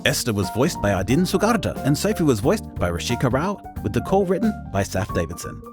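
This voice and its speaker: A man's voice: mid-range voice, very animated, fairly quick, over music. A man reading scripted end credits as an announcer for an audio show.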